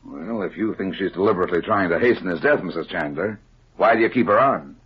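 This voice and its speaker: An actor playing a tough, wisecracking private detective in a 1950s radio drama, with a hardboiled, slangy, wry manner and a husky voice. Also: male voice, low voice, fast. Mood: blunt, probing. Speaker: private investigator. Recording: dull, lo-fi vintage 1950s radio recording.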